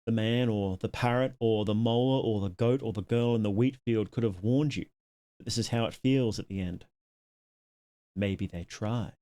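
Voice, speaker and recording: A man's voice: on the low side, normal speed, dark tone. A man live-streaming, reading game text aloud and making casual asides to his viewers.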